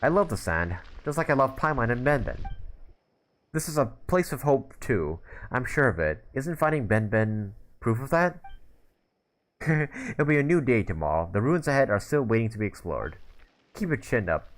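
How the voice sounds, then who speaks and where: A man's voice: medium pitch, big pitch swings, moderate pace, natural voice. A man voicing a free-spirited young adventurer girl in a video game, teasing and encouraging in an easygoing way. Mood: warm, encouraging.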